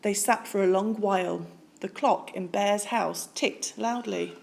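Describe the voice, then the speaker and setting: A woman reading a children's storybook aloud in expressive narration with deliberate, dramatic phrasing. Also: medium pitch, creaky, slight background noise. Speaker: storybook reader.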